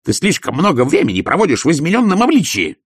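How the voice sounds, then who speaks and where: Man voicing an imperious old baroness, husky, dry sound, sharp and scornful. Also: medium pitch, huge pitch swings, moderate pace, no room sound, pitched above the performer's own voice, exaggerated voice. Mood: scolding. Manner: haughty, scolding, sharp. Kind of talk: audiobook character voice.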